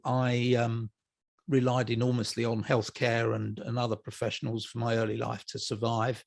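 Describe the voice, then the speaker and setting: An older man speaks as an interview guest, his voice creaky with plenty of fry. He explains things in a reflective, conversational way, taking his time over each thought. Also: mid-range voice.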